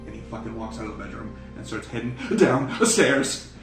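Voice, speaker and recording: A man's voice: on the low side, animated, fairly quick. Male game master, casual and sweary improvised storytelling, roomy distant sound over music. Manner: casual, profane. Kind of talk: improvised tabletop game narration.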